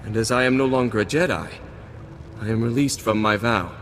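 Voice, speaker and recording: A man's voice: lowish voice, a little fast, fairly bright tone, natural voice. A man playing a stern, conflicted middle-aged Jedi knight in a video game, speaking in a solemn, formal way. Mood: softened, earnest. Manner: solemn, formal, weighty delivery. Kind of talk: dramatic video game acting. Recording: long hall reverb, music behind.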